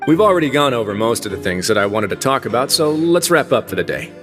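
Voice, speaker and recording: A man voicing a composed, wry prison warden in a video game, speaking calmly and dryly. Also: medium pitch, faint music behind. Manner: calm, dry and measured.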